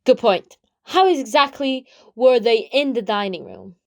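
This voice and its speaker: A woman's voice: on the high side, very animated, unhurried, vocal fry, full character voice. A woman playing a precocious, self-important eleven-year-old boy detective in a comic murder-mystery drama. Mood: probing. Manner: officious, interrogating, self-important.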